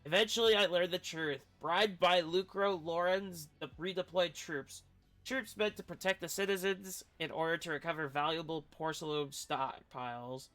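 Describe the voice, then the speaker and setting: A man's voice: on the high side, moderate pace, sharp tone. A man who streams games, reading story text aloud and adding casual commentary. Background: video game music.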